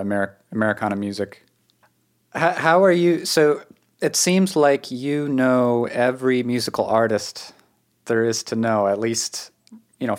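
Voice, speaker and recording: A man with a New England accent, hosting a casual conversational podcast interview. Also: fairly low pitch. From New England, United States. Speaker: podcast host.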